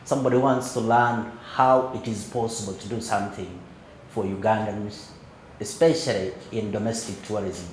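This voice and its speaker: A man hosting a podcast interview, gently prompting his guest and murmuring "mm" along as he listens. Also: on the low side, fairly even intonation, moderate pace, echoey room, a little hiss or hum, low-quality recording. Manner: encouraging, frequent backchannel "mm", gentle prompting. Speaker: podcast host.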